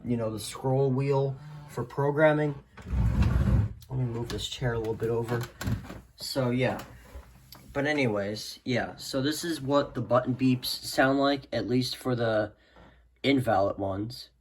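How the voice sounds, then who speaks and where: A man giving a casual, unscripted product demo in a rambling, hesitant way, often trailing off into "so yeah". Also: mid-range voice. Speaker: hobbyist video maker. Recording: clearly noisy amateur home recording.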